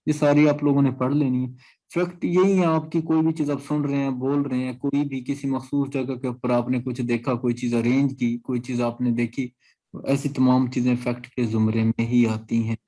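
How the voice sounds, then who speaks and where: Male law lecturer, Pakistani accent, mid-pitched mellow voice, didactic and repetitive, explaining clauses step by step. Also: little pitch movement, moderate pace, poor audio. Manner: didactic, repeats points and checks understanding. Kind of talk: exam-prep lecture, reading out clauses and explaining them.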